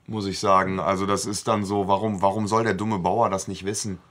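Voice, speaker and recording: A man with a slightly low voice talks as a podcast co-host. His style is casual and colloquial, and he often chimes in to argue a point. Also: level tone, fairly quick, fairly bright tone. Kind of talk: casual discussion.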